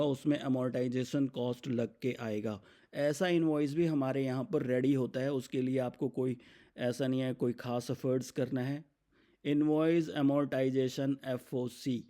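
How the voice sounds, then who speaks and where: An Indian man with a mellow, relaxed voice walks through a software demo step by step. His delivery is conversational and explanatory, with a fairly flat, even pitch at a medium height. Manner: conversational, explains step by step, poses questions then answers them. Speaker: software product demonstrator. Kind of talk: explanatory software demo narration, semi-scripted.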